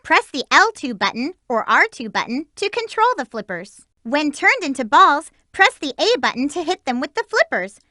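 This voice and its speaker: A woman's voice: sits high, big pitch swings, normal speed, few pauses, pushed and bright, dry recording, studio quality, full character voice. A woman voicing a peppy, eager young female hedgehog heroine for a video game, giving upbeat instructions to her team. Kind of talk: game voice clips.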